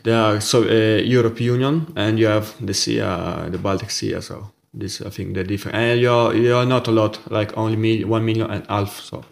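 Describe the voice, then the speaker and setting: A young man, raised in Italy and born in Russia, speaking as a guest in an interview, halting and searching for words. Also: fairly low pitch, steady tone, creaky. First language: Italian. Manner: halting, searching for words, many repeated yeses. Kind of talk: casual interview on a livestream.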